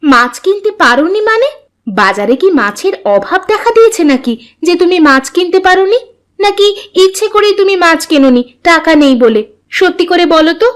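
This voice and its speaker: A woman's voice: very high voice, big pitch swings, a little fast. A woman voicing a proud, plain-spoken poor village wife in a cartoon drama. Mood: questioning, annoyed.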